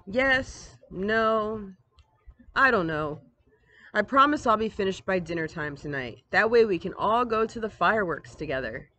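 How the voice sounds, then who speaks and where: A woman voicing a concerned, patient mother who reasons gently, in a storybook read-aloud. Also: mid-range voice, expressive, a little slow. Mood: apologetic. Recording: outdoor ambient noise, device microphone.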